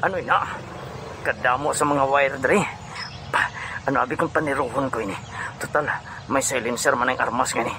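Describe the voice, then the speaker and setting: A man with a Filipino accent playing an anxious, hurried action hero who mutters his moves to himself in an audio drama. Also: mid-range voice, fairly even intonation, slight background noise. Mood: tense, effortful. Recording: loud music bed under the voice.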